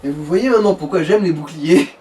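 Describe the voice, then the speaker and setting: A man's voice: a little high, a little fast, mellow. A man in an informal, explanatory gaming chat, advising the player as co-commentator. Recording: distant mic, faint noise.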